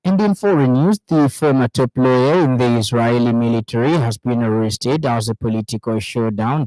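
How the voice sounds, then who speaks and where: A man's voice: medium pitch, dark tone, no room sound, low-quality recording. A man with a Zambian accent reading a scripted radio news bulletin in a studio.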